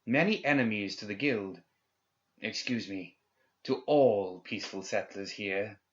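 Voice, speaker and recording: A man's voice: fairly low pitch, a little slow, a bit bright. A man voicing a formal, coercive male official in an audiobook, speaking with polite menace. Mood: satisfied, formal. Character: smooth-talking, coercive male Guild official. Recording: slightly dull, band-limited recording.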